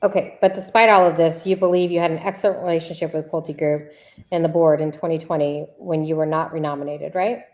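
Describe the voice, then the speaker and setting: Persistent female litigator, read by a woman, lowish voice, deliberate and needling in a deposition reenactment. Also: steady tone, a little mellow, natural voice. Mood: probing. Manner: insistent, repeats questions deliberately. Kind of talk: read-aloud deposition transcript.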